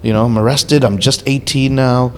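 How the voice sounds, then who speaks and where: A man's voice: mid-range voice, fairly even intonation, normal speed, background noise. A young man from Hong Kong, a social worker, speaking as a podcast guest and telling his life story in an earnest, candid way, with a lot of "you know" in between. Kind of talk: conversational interview storytelling.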